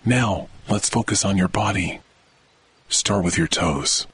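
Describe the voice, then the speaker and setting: Male meditation host, low register, soothing and measured scripted read, faint thunder ambience behind. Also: background noise. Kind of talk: scripted guided sleep meditation. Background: thunder rumbles.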